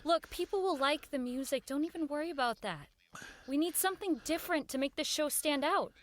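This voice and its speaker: A woman's voice: high voice, animated, brisk. An actress playing an earnest, persistent woman who runs a radio show, in audio drama dialogue and first-person narration. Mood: earnest insistence.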